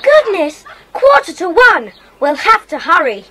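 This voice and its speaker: A woman playing an eager, excitable, chatty schoolchild in an audio drama. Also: very high voice, a little slow, heavy background noise, exaggerated voice.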